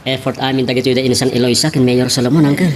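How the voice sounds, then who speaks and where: A man's voice: medium pitch, steady tone, very fast, slight background noise, lightly acted. An actor with a Filipino accent playing an eager, earnest nephew in an audio drama, respectful as he argues. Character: eager nephew arguing politics with his uncle.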